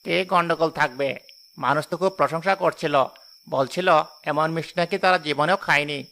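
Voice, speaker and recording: A man's voice: on the high side, normal speed, gravelly, bright, forceful tone. A man playing a husband who needles his jealous wife in a cartoon fairy tale. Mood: matter-of-fact. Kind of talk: animated fairy-tale voice acting.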